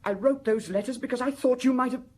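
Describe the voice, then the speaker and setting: A woman playing an upset, defiant young character in a radio drama, with a husky voice. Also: high-pitched, fast talker, darkish tone. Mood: hurt, disillusioned. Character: upset, defiant, disillusioned young letter-writer.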